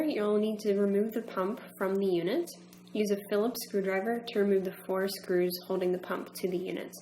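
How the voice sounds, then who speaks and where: A woman's voice: medium pitch, mellow. A woman narrating an instructional demonstration in a methodical, step-by-step manner. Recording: roomy echo, faint background hum.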